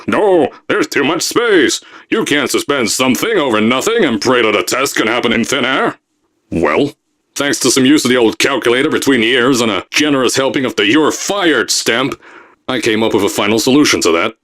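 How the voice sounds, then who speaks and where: A man's voice: mid-range voice, animated, brisk, close to an everyday voice. An actor playing a brash, blustering male company boss recording messages for test subjects in a game. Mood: ranting. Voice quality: loud, boastful salesman bluster. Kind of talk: pre-recorded announcement messages.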